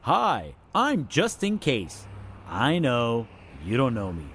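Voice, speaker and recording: A man's voice: medium pitch, huge pitch swings, takes it slowly, fairly bright tone, husky, voice pushed up, exaggerated voice. A man voicing an eager, overlooked insurance mascot in a comic commercial, with a plaintive, emphatic delivery. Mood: eager, insistent. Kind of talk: comic commercial character acting.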